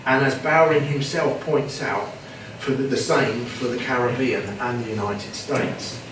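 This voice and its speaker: Man presenting a paper, measured delivery, reverberant hall, audible background noise. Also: mid-range voice. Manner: measured delivery with repeated words. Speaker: conference presenter. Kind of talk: academic conference talk. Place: conference room. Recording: echoey hall with background noise, mic at a distance.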